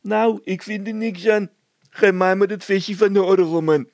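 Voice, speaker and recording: Storybook role: male reader as naive, cheerful male labourer, high-pitched, grumbling and exclaiming. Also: fairly even intonation, moderate pace, a little mellow, no echo. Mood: stubborn. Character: De Wit, simple cheerful male village labourer who loves singing.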